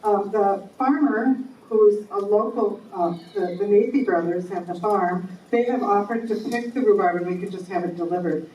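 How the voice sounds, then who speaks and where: A woman's voice: mid-range voice, normal speed, a little hiss or hum. Woman speaking up at a meeting, echoey. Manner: says she has a loud voice. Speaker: volunteer jam maker. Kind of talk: informal meeting remarks. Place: meeting hall. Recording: echoey, distant room recording.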